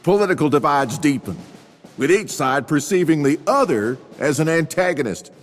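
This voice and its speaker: A man voicing a commanding male drill sergeant who lectures recruits point by point and shouts his orders. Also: a little high, lively intonation, moderate pace, long reverb tail, faint music behind, lightly acted. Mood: barking.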